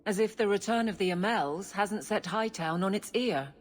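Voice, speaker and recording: An actress playing a dutiful captain of the city guard in a video game, with a dry, matter-of-fact manner. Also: female voice, mid-range voice, moderate pace, a little hiss or hum, close to an everyday voice. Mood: dry, teasing. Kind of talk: video game cutscene acting.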